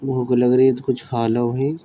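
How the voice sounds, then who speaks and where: Mellow, laid-back man on the phone. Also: mid-range voice, little pitch movement, moderate pace. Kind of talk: casual phone chat. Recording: phone call with faint background noise.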